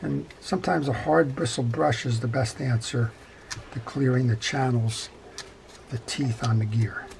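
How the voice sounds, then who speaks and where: Gravelly middle-aged man explaining a repair. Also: medium pitch, a little slow, heavy background noise. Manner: methodical, plain-spoken explaining. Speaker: fishing reel repair instructor. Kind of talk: hands-on tutorial commentary, unscripted. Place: indoor workbench.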